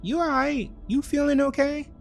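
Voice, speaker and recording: A man's voice: extremely high pitch, normal speed, creaky, faint music behind, voice pushed up. A man playing a nervous, defensive cheating boyfriend who stammers out pleading excuses in a thriller story. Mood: anxious concern.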